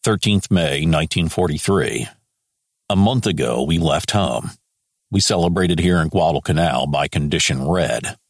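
Terse older general's diary voice, a man reads it, very low, dry close sound. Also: lively intonation, moderate pace, gravelly, breathy edge, no room sound, full character voice. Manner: clipped diary-note delivery. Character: older American general, terse and matter-of-fact. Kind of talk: reading a wartime diary aloud.

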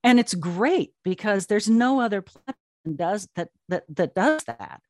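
A woman's voice: mid-range voice, animated, measured pace, no room sound, clean studio sound. A woman speaking as an interview guest, answering conversationally and telling stories with emphatic repetition. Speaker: guest, audio drama platform co-founder.

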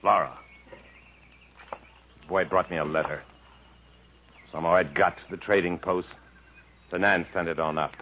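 Actor as a deep-voiced, bitter, broken jungle exile. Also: male voice, steady tone, moderate pace, pauses often, lightly acted. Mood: subdued. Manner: brooding, curt, theatrical. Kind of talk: 1950s radio drama acting. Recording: dull, lightly noisy 1950s radio recording.

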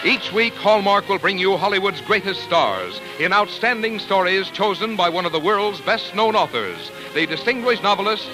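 A man's voice: high-pitched, very animated, normal speed, few pauses, poor audio. An American man announcing and reading adverts on 1940s radio in a polished, emphatic scripted style. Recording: 1949 radio broadcast with faint music.

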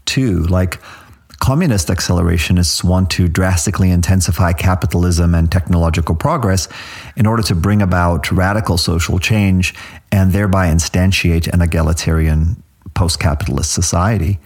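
A man hosting a podcast, delivering scripted commentary in a measured, articulate and slightly wry way. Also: deep voice, darkish tone. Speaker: podcast host.

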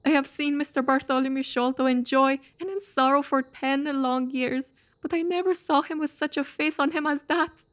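A woman's voice: high voice, fairly even intonation, moderate pace, few pauses, close to an everyday voice. A woman playing a frightened, loyal elderly housekeeper in an audio drama, with a plaintive, agitated delivery. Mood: anxious pleading. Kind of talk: audio drama acting of a classic mystery.

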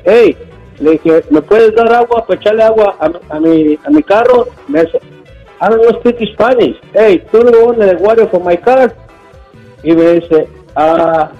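A man calling in to a radio show, loudly telling an excited story. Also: high-pitched. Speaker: caller. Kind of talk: phone-in story. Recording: dull phone line on radio.

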